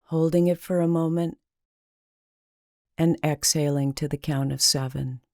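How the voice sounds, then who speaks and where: Slow, low, mellow woman guiding meditation. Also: dry recording, clean studio sound. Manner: slow, calming, deliberate with long pauses. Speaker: meditation guide. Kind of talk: guided meditation narration.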